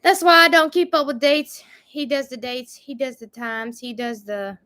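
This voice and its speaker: High-voiced woman chatting casually. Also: fairly even intonation, moderate pace, bright, forceful tone. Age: 30s. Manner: chatty and easygoing, reads out viewer questions. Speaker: co-host of a family homestead channel. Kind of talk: casual live Q&A chat.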